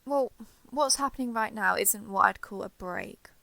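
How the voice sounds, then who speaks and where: Woman acting a shy, guarded ex-villain, creaky-voiced. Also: medium pitch, sharp tone, no room sound. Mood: grudging, defensive. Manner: halting, defensive, awkwardly sincere. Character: shy, guarded former villain woman turned ally, awkward and flustered. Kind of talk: intimate romance audio roleplay.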